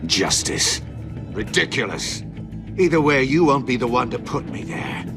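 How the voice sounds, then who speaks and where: A man's voice: medium pitch, very animated, measured pace, gravelly. A man playing an arrogant, taunting puzzle-obsessed supervillain with a theatrical manner in a video game. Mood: scornful. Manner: mocking, theatrical. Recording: dramatic music behind.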